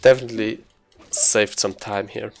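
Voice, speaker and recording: Man, casual speedrun commentary, low-key muttering, monotone delivery, noticeable vocal fry. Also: moderate pace, slight background noise. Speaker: speedrunner commentating on own run. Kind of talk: casual live gameplay commentary.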